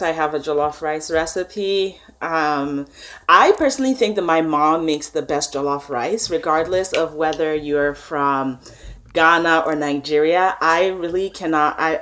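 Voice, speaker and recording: A woman from Canada speaks as a podcast guest, frank and relaxed, with a scattering of ums. Her voice sits quite low for a woman. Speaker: chef guest. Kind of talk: casual interview chat.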